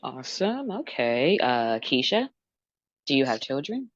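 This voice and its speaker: A low-voiced woman hosts a group dating show, moving briskly from guest to guest. Her voice sounds close and dry, with slightly dull sound. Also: very animated, dry recording. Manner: brisk, repeats short approvals. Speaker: host.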